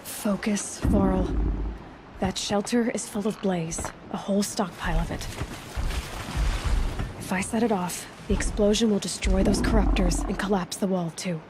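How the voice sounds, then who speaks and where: A woman's voice: mid-range voice, fairly even intonation, normal speed, noisy background, natural voice. A woman playing a young, self-reliant hunter in a video game, speaking in a terse, dry, matter-of-fact way. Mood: resolute, instructing. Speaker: game protagonist. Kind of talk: video game dialogue and musing aloud. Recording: loud music behind the voice. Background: loud game music.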